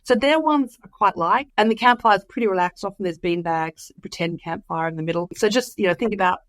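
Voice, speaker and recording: A woman's voice: mid-range voice, brisk, fairly bright tone, no echo. Woman with a flu-worn voice. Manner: enthusiastic, rambling with many fillers. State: recovering from flu, voice comes and goes. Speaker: conference guest. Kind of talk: casual interview chat.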